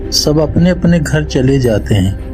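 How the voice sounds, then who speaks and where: Brief: steady male storybook narrator, over clear background music. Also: on the high side, a little slow. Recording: music playing underneath.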